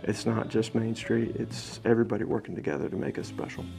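Man in his thirties, mid-pitched, gravelly fry, interview answers, faint music behind. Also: steady tone, quick pace. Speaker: small-town mayor. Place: office, indoors.

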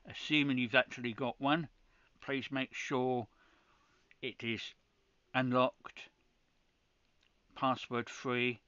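A man with a bright, crisp voice walks viewers through a technical setup one step at a time. He talks in a practical, matter-of-fact way and often restarts his sentences. The recording is dry and a little muffled. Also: medium pitch, no echo. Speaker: ham radio channel host. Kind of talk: screen-recorded tutorial.

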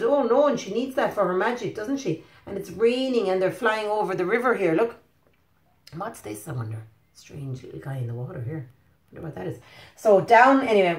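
A woman with an Irish accent reads a picture book to young children, animated and encouraging, often asking them questions. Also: medium pitch. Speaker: preschool teacher. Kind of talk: interactive storybook reading for young children. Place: living room indoors. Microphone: phone at about arm's length. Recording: roomy home phone recording.

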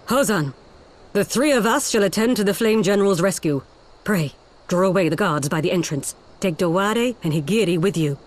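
A woman's voice: mid-range voice, expressive, normal speed, close to an everyday voice. Actress as composed, formal female ninja. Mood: commanding. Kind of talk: dramatic video game acting.

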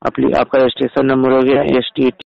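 A man's voice: medium pitch, completely flat delivery, fairly quick, vocal fry, no room sound. A man on a recorded phone call, insistently persuading like an official and mixing in English words. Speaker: phone caller posing as an official. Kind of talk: persuasive sales-like phone pitch.

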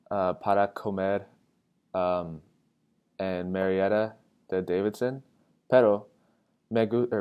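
Very slow, hesitant young American man. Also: sits low, a little mellow, smooth. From Atlanta, United States. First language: English. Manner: hesitant, searching for words. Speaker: college student. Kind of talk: halting self-introduction in learner's Spanish. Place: indoor room with tall windows. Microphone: webcam framing with wired earphones.

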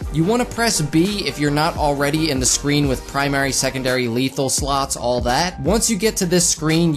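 A young man giving a casual, step-by-step gaming tutorial as a voice-over. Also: fairly high pitch. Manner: instructional, step by step. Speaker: gaming video host. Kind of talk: casual scripted-sounding tutorial narration over gameplay. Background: music behind the voice-over.

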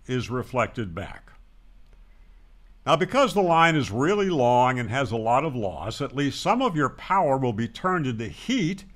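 Older male tutorial presenter, slightly high voice, very lively intonation, plain-spoken and emphatic, close-miked at home. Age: 60s or older. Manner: plain-spoken, emphatic, with pauses to stress key words. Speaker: presenter of a ham radio channel. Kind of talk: explanatory tutorial to camera, conversational. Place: small home room with guitars, indoors. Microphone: large broadcast microphone close up on a boom.

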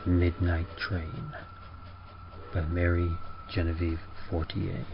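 A man reading horror writing as a slow, measured, ominous dramatic narrator, in an old-time radio manner. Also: sits low, level tone, over music. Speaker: podcast presenter reading horror fiction and poetry. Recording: dull lo-fi audio with clear noise.

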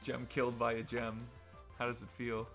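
A man's voice: mid-range voice, monotone, moderate pace. A man doing casual game commentary among friends with playful, mock-dramatic trash talk. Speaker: gamer in group commentary. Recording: game music behind the voice. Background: game music.

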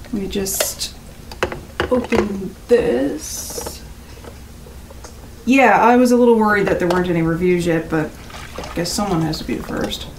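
An actress in an audio drama playing a curt, stressed, critical rental guest, speaking in a blunt, casual and dismissive way. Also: female voice, mid-range voice, fairly even intonation, measured pace, long pauses, background noise, close to an everyday voice. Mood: weary. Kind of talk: naturalistic audio drama acting.

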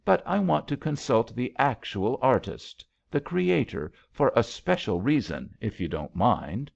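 Bluff male banker, voiced by a man narrating, hearty, commanding, lively pitch, storybook read, slightly muffled. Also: creaky, exaggerated voice. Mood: genial. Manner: brisk, hearty, clipped phrases.

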